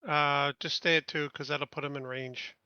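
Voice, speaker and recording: A man's voice: on the high side, steady tone, moderate pace, bright, forceful tone, clear, resonant tone, no room sound, highs cut off. A man playing a tabletop game, chatting casually and giving his choices in a direct, decisive way.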